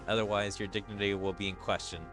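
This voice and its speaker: A man's voice: fairly low pitch, little pitch movement, quick pace, loud music behind the voice, natural voice. Man reading as a smug commanding demon lord. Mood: haughty.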